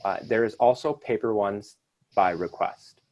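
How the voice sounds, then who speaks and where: A man's voice: lowish voice, unhurried, darkish tone, dry recording, muffled recording. A man leading a children's activity, giving instructions to kids and families in an upbeat, encouraging way.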